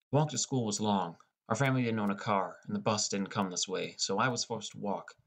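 A man's voice: lowish voice, slightly rough. Young man narrating a first-person story. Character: depressed, irritable high school boy telling his story. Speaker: audiobook narrator.

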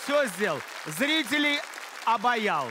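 A man's voice: very high voice, sing-song, takes it slowly, a crowd in the background, noisy background. A man hosting a TV show, speaking emphatically with rising announcements. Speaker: show host.

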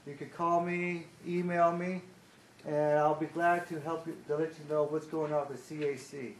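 Loud upbeat man in echoey room. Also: a little high, fairly even intonation. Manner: loud, upbeat, halting with many fillers. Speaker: committee liaison giving a report. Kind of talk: public report at a board meeting. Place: large conference room. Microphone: table microphone. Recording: echoey room, some background noise.

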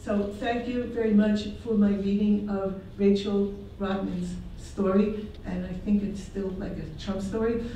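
A woman reads her scholarly paper at an unhurried pace, with a soft, mellow tone and occasional restarts. She is picked up from across an echoey classroom. A faint hum of room noise sits behind her. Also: mid-range voice, fairly even intonation, recorded from a distance. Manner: slow, measured reading with restarts. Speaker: symposium presenter. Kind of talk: academic paper reading. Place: university classroom.

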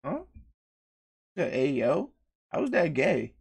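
A young man livestreaming in casual banter, loud and teasing, swearing often and talking to his chat. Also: on the high side, very animated, slow, creaky, husky. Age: young adult.

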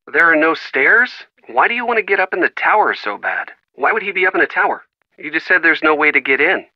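An actor playing an affable, wry young American boyfriend in a video game, with a conversational delivery. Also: male voice, medium pitch, dry recording, dull audio, close to an everyday voice. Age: young adult. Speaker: boyfriend on the phone.

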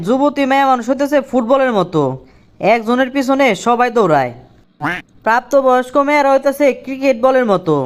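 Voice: high voice, clear, resonant tone. Performer playing cartoon village job applicant, Bangladeshi accent, cheeky, eager, literal-minded, colloquial comic delivery. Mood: confidently silly. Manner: eager, deferential yet cheeky, colloquial rustic speech.

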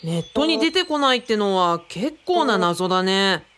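A woman's voice: medium pitch, sing-song, normal speed, background noise, natural voice. A woman playing a frank, curious, wry apprentice in a radio drama, speaking casually and bluntly. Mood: curious, musing.

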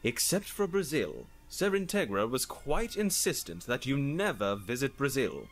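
An actor playing a polite, scheming manservant in an anime parody, proper and understated in wording. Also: male voice, mid-range voice, very animated, moderate pace, soft background music. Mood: slyly courteous.

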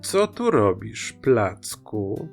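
Storybook fox, played by a man: cunning, falsely meek, slow and soft, music underneath. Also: fairly low pitch, big pitch swings, vocal fry, full character voice. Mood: sly, coaxing. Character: sly fox feigning meek deafness. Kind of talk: children's fairy tale storytelling.

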